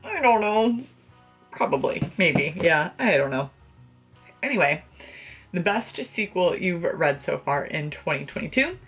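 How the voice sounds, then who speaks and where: Casting: young woman, low-voiced, casual book vlogger, gushing and playful with slangy delivery, bedroom setting. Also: expressive, unhurried, fairly bright tone, husky, soft background music. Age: young adult. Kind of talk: casual chat to camera about books. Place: small bedroom, indoors.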